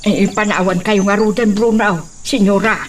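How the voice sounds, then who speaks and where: A woman's voice: mid-range voice, fairly even intonation, normal speed, lightly acted. A woman acting a nervous servant in an Ilocano-accented radio-style melodrama, with faint noise behind. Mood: deferential. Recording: faint background noise and insects.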